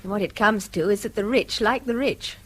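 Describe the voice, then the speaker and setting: An actress playing a weary, self-deprecating middle-aged English wife in a radio drama, with a dry, exclamatory delivery. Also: female voice, medium pitch, fairly quick, close to an everyday voice. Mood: cautious, mocking. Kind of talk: British radio drama acting.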